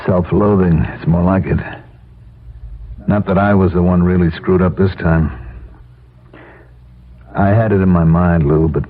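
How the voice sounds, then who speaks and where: An American man acting a bitter, despairing middle-aged husband who is out of work, in a halting, anguished 1970s radio drama style. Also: sits low, fairly even intonation. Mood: weary self-loathing. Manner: halting, anguished, self-pitying. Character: despairing, bitter 48-year-old unemployed husband. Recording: tinny, noisy 1970s radio broadcast.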